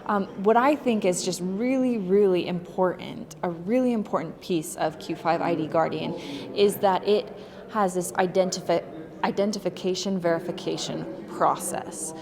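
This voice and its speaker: A woman's voice: lowish voice, normal speed, a crowd in the background. A woman giving an earnest public speech, stressing her points with repeated words. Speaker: speaker at a press event. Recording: long hall reverb.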